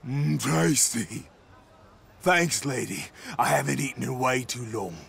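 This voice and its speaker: An actor voicing a big, lazy, gluttonous punk brawler in a video game, grumbling bluntly in a British accent. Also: male voice, mid-range voice, measured pace, gravelly, fairly bright tone, slightly rough, full character voice. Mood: sated.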